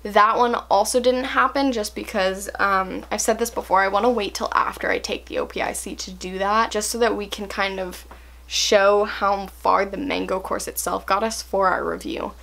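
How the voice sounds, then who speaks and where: A woman's voice: medium pitch, steady tone, moderate pace, creaky. Chatty young woman vlogging progress. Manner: chatty and candid, with frequent fillers and soft laughs. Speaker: language-learning vlogger. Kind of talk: casual talk to camera.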